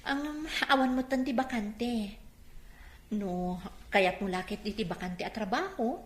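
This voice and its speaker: A woman's voice: a little high, unhurried, lightly acted. A Filipino woman acting a curt, bossy young woman who does the hiring at an inn, in a radio drama. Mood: curt, dismissive. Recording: roomy, echoing sound.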